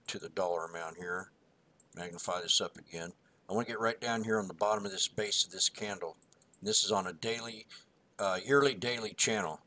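A man's voice: lowish voice, sharp tone. A man co-hosting a stock market review, walking through chart price levels in a rambling, folksy way. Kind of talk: casual chart analysis voice-over.

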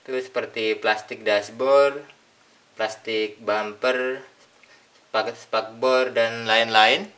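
A young man calmly explaining a tool step by step in an unboxing demonstration. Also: medium pitch. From Indonesia. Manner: plain step-by-step explaining with pauses. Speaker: product reviewer. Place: indoors at a tabletop.

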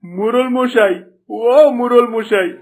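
Complaining village shopkeeper: very high male voice, indignant cartoon delivery, soft music. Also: measured pace. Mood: aggrieved, indignant. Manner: pleading, indignant.

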